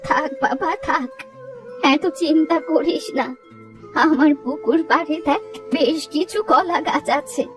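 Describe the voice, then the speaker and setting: A woman's voice: sits high, unhurried, distant mic, music in the background. A woman voicing a kind, motherly old village granny in a Bengali moral cartoon, homely and exclamatory. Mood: consoling.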